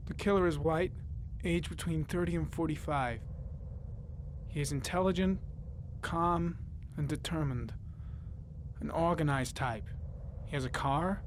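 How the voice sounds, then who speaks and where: A man playing a calm, methodical detective in a video game, thinking aloud in deliberate, clipped, analytical phrases. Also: on the high side, slight background noise, natural voice. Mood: analytical, focused. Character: calm, methodical male detective profiling a killer. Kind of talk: video game voice acting, investigative monologue.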